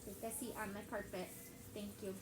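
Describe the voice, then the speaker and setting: A woman's voice: medium pitch, level tone, a little fast. Chatty teacher woman in an echoey classroom. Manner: chatty, asks the kids many questions, heavy use of "like". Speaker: elementary school teacher. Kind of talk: classroom read-aloud lesson. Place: classroom with children on a carpet. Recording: echoey classroom with background noise.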